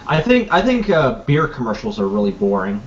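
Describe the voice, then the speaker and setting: A young man co-hosting a casual podcast, speaking in dry, deadpan remarks and loose banter. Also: mid-range voice, brisk, muffled recording. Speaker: podcast co-host.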